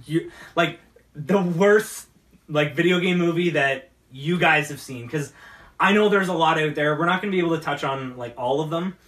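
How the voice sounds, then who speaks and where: A man hosting a casual geek talk show, rambling about movies in a halting way and often repeating his words. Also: a little high, fairly bright tone. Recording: distant from the mic.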